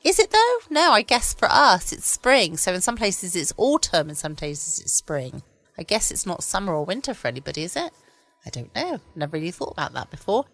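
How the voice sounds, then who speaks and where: A woman hosts a late-night podcast in a casual, rambling way. Her voice is on the low side, recorded dry and close in a quiet room at home. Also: very animated, a little fast, no room sound. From United Kingdom. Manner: rambling, musing to herself. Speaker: podcast host. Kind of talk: casual bedtime chat.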